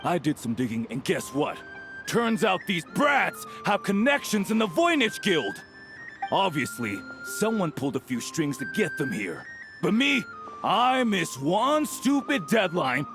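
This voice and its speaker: A man's voice: high-pitched, very animated, unhurried, fairly bright tone, faint music behind, heavily acted. A man voicing a surly, aggrieved punk who bullies people, in a video game. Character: aggrieved male punk, surly bullying troublemaker.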